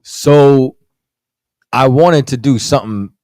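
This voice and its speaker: A man's voice: medium pitch, slow pace, a little mellow, dry recording. A man talking casually on a podcast as a guest author, rambling with lots of "you know what I'm saying".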